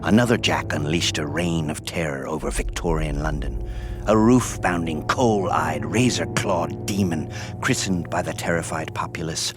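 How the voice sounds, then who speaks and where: Very deep-voiced ominous male horror presenter. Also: normal speed. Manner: ominous, dramatic. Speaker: series presenter. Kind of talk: scripted theatrical horror narration. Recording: music behind the voice.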